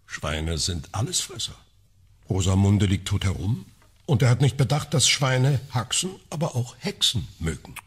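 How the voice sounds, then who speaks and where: A man's voice: lowish voice, sing-song, moderate pace, breathy edge, heavily acted. A man acting in a German radio crime drama as a needling, sardonic clergyman sleuth, dry and probing.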